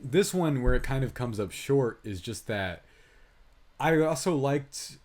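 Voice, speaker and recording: A man's voice: mid-range voice, expressive, measured pace, a little mellow. A man co-hosting a podcast, giving opinionated, rambling film commentary in casual conversation. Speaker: podcast co-host and film reviewer. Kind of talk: casual movie review chat.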